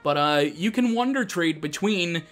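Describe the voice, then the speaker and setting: A man's voice: fairly high pitch, lively intonation, measured pace, a bit bright. A man doing casual, chatty gameplay commentary as the host, often poking fun at himself. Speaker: gaming show host. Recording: music behind the voice. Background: video game music.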